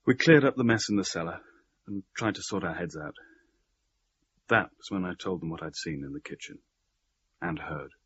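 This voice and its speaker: An actor playing an earnest, rational-minded man, telling of a haunting in measured radio-play narration. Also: low-pitched, husky. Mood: earnest recounting. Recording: slightly muffled, faint background noise.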